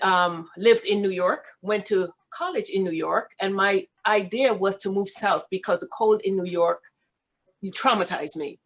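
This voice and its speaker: A middle-aged woman with a Guyanese accent, a physician introducing herself on an online panel in a relaxed, chatty way. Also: mid-range voice. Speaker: physician guest.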